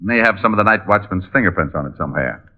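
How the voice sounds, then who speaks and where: A man's voice: sits low, expressive, rapid-fire. A man playing a cool, wisecracking private detective in an old-time radio drama, wry and breezy. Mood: businesslike. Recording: dull vintage radio recording.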